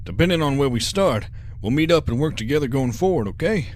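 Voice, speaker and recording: A man's voice: mid-range voice, lively intonation, brisk, natural voice. A man playing a suave, confident showman convict in a sci-fi audio drama, cocky and persuasive. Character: smooth, confident showman convict, schooling his rivals.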